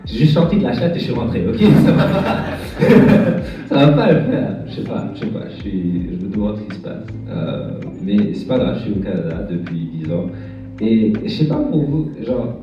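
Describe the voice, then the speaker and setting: A young man from France performing a stand-up set on a bar stage, talking in a halting way with lots of fillers and restarts. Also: fairly low pitch, big pitch swings. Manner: hesitant, halting delivery with many fillers and restarts. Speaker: stand-up comedian. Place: bar stage with live audience. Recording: echoey, dull room sound from far off, music behind.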